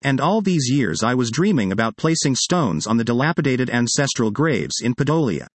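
A man's voice: mid-range voice, sing-song, dry recording. Earnest man reading an article aloud. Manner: earnest, measured reading with self-corrections of names. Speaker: reader of a published article. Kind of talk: read-aloud article narration.

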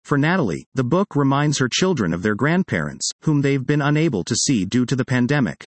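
Man reading an article aloud, lively. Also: medium pitch, fairly quick, dry recording. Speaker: narrator.

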